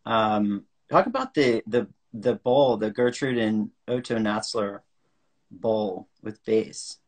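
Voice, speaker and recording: A man's voice: fairly low pitch, takes it slowly. A man in his forties hosting an interview over a video call, asking short prompting questions and adding brief reactions. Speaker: podcast host, interviewer. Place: home room indoors. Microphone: video call webcam.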